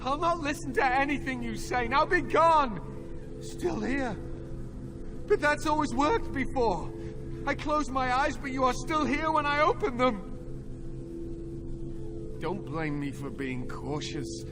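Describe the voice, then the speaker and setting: An actor playing a young, tormented captive templar in a fantasy game, anguished and halting, full of hatred and desperation, with music behind him. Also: male voice, very high voice, expressive. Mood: defiant, frantic, then haunted, bitter. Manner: anguished, halting, intense pleading. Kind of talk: dramatic fantasy video game acting.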